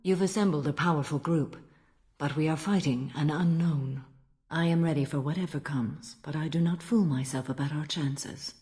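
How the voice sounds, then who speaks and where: An actress playing a stern, ancient alien warrior-monk in a video game, speaking in a formal, measured and solemn manner. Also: female voice, sits low, warm tone, natural voice. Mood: calm resolve. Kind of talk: dramatic video game dialogue.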